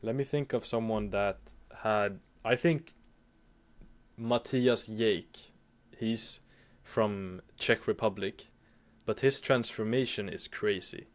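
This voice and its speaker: A young man from Sweden with a Swedish accent, speaking English as a second language as an interview guest, hesitant and halting with frequent restarts. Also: on the low side. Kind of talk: casual interview chat.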